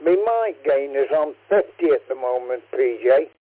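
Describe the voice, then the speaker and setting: A man's voice: medium pitch, expressive, normal speed, no room sound. A man chatting on an amateur radio frequency, who laughs easily and stumbles over his words. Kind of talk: casual radio chat. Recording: tinny dry radio transmission.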